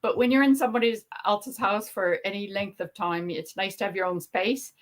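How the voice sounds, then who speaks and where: A woman with an accent that blends English and Canadian, talking in a rambling, chatty way and laughing often. She sounds like a relaxed guest on a video call. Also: medium pitch, fairly quick. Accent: mixed English and Canadian. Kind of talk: casual chat.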